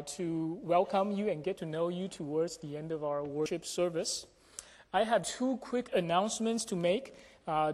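A pastor giving announcements and a sermon to a congregation in a measured, explanatory way, with check-in questions to the listeners. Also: medium pitch, a little mellow. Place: church sanctuary during a service. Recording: reverberant hall sound.

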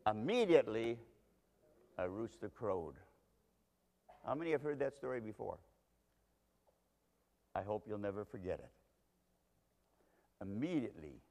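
A man's voice: medium pitch, a little mellow. A man preaching a sermon to a congregation, telling the story dramatically and with strong emphasis. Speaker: pastor. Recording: long hall reverb.

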